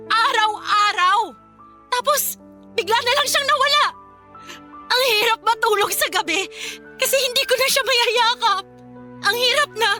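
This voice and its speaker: An actress with a Filipino accent playing a stubborn, wounded young widow who is grieving, in an emotional radio drama style. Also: female voice, extremely high pitch, pushed and bright. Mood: anguished. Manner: emotional, pleading, defensive.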